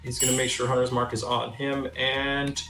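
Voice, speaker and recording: A man describes his character's moves in a casual tabletop game. His mid-pitched voice has a creaky edge and sits in an echoey room over music. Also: little pitch movement, moderate pace. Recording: roomy sound with music behind.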